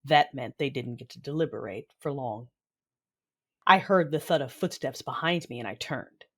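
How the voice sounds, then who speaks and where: A woman's voice: extremely low pitch, normal speed, slightly rough, exaggerated voice. A woman playing a proud, hot-tempered Sith warlord mother, narrating an audio drama in the first person with commanding intensity. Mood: brooding. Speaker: narrator and lead character.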